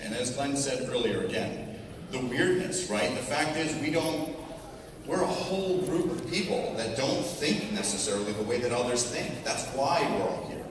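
A middle-aged American man, slightly hoarse, giving an informal conversational keynote in a large conference ballroom, picked up from the audience. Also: medium pitch. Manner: conversational, restarts for emphasis, tags points with "right?". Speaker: technology executive, conference speaker. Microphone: PA heard through a distant audience camera. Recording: echoey hall, distant audience recording, noisy.

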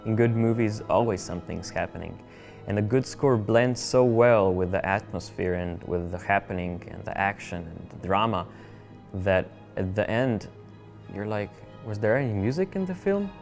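Young man, low and gravelly. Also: moderate pace, a little mellow. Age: young adult. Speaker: film music competitor. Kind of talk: interview. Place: indoor room with curtains. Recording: faint music underneath.

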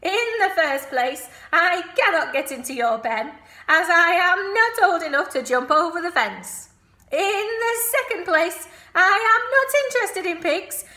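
A woman's voice: very high-pitched, very animated, a little slow, bright, forceful tone, roomy sound, voice pushed up, full character voice. A woman voicing a snooty young lamb who speaks haughtily and coldly. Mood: haughty. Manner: haughty and cold.

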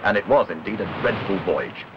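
A man reading scripted documentary narration in a measured, literary, wry manner. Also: on the low side, sing-song. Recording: dull old film soundtrack, music behind.

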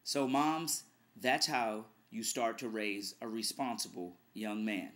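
A man's voice: fairly low pitch, slow pace, a bit bright. A middle-aged man, a radio host, giving earnest advice straight to a webcam in his living room. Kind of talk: direct-to-camera video blog.

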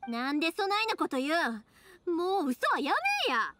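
High-voiced, spirited Kansai girl. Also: animated, moderate pace, fairly bright tone, soft background music. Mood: pleading. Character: spirited young fireworks-maker girl, frank and stubborn. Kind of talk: Japanese anime game acting.

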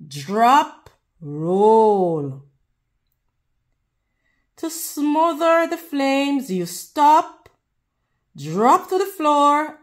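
A woman reading a picture book aloud to children in a deliberate, teacherly way, pausing to put questions to them. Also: medium pitch. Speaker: children's read-aloud host.

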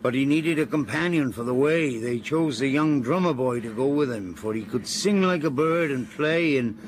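An actor in a radio play voicing a rough, protective old-time sailor, talking in a rustic, colloquial and placating way. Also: male voice, medium pitch, moderate pace, a little hiss or hum, close to an everyday voice. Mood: storytelling. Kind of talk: dramatic radio play acting.

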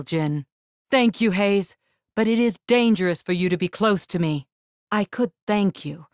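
A woman voicing a proud, composed, regal warrior spirit in a video game, with formal, commanding, measured diction. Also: mid-range voice, dry recording, studio quality, close to an everyday voice. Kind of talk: video game battle lines.

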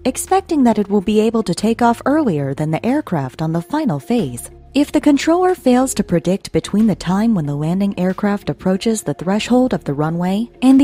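A woman's voice: on the high side, dark tone. A woman reading scripted instructional narration as a narrator.

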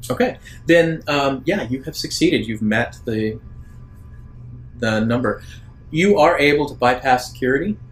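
A man working as a game master, improvising narration for a tabletop game in a halting, deliberate way. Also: animated, faint music behind, poor audio. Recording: rumbly background noise.

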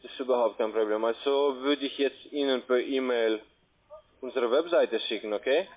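A man who is not a native German speaker, working as a call-centre agent, giving a halting, repetitive, persuasive sales pitch. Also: lively intonation. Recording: tinny, band-limited phone line.

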